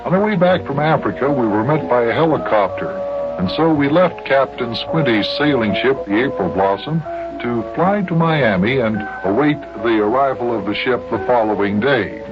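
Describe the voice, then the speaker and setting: A man hosting and narrating a 1950s children's radio story, with measured, deliberate phrasing and pauses. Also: medium pitch, animated, over music. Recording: muffled, noisy old radio recording.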